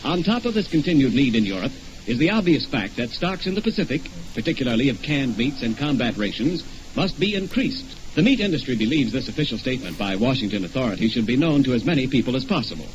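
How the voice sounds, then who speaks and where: A man's voice: mid-range voice, big pitch swings, fast. A man reading a formal scripted announcement in 1940s radio style. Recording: muffled, faintly noisy old radio broadcast.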